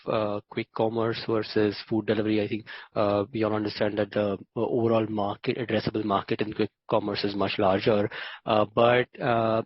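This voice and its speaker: An Indian man, an analyst, politely asking spontaneous questions in a halting, hesitant way. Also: mid-range voice, dry recording. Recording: dull, lo-fi phone conference audio.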